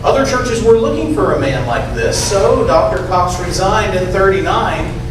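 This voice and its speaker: A man's voice: high voice, animated, normal speed, distant mic. A man reading a rhyming poem aloud in a church readers theater. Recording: echoey church hall from afar, noisy.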